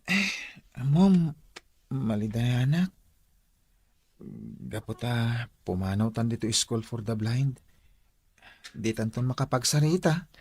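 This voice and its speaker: A man's voice: medium pitch, expressive, slow, long pauses, a little mellow, slightly rough, no room sound. A man playing an earnest teenage schoolboy in a Filipino radio drama, with a Filipino accent. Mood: wistful. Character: Lindon, earnest teenage blind schoolboy singer.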